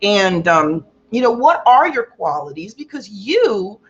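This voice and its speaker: A woman with a loud, carrying voice giving a rambling, casual self-help talk as a Reiki practitioner, acting out quotes with big exaggerated jumps in pitch. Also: sing-song, measured pace, dull audio. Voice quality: loud voice that carries. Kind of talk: casual motivational talk to viewers.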